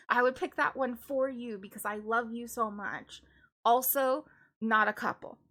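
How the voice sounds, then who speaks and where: A woman's voice: very animated, a little slow, bright, forceful tone. A young woman, a classical singer streaming live, chatting casually with lots of restarts and giggly asides. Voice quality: high singing voice. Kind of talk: casual stream chat, then singing along.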